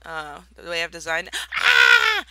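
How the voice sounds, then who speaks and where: A young woman giving casual commentary over a block-building game, slightly raspy from just waking up, playful and mock-villainous in a theatrical way. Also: low voice, wildly swooping pitch, a little slow, sharp tone, dry recording. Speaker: Minecraft let's player.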